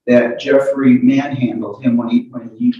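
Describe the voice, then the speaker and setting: A man's voice: mid-range voice, fairly even intonation, dull audio. Man cross-examining in an echoey courtroom. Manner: halting, repeats opening words, insistent. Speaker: lawyer questioning a witness. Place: courtroom hearing. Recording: echoey courtroom, distant microphone.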